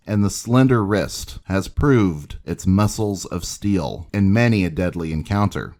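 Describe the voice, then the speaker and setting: A man narrating scripted history in a measured, dramatic storytelling manner, as if reading an old newspaper account aloud. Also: fairly low pitch, a little mellow.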